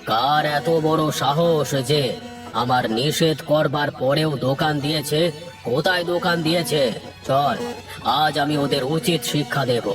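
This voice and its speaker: A man's voice: a little high, fairly even intonation, moderate pace, over music, lightly acted. A man voicing a pompous, bossy village landlord in a cartoon, with an authoritative, commanding manner. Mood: indignant. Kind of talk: cartoon dub acting.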